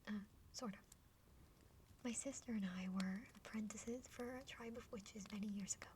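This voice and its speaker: A woman voicing a friendly, curious younger half-dragon sister in a breathy, chatty whispered ASMR roleplay. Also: mid-range voice, fairly even intonation, moderate pace, lightly acted. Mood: friendly, helpful. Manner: chatty, playful, hesitant stammers. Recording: faint background noise.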